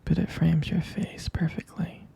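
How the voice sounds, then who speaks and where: Very slow, breathy whispering ASMR lover. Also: medium pitch, completely flat delivery, warm tone. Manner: slow, intimate, hesitant pauses. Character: tender, adoring vampire lover. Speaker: ASMR performer playing a loving partner. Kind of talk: whispered romantic ASMR roleplay.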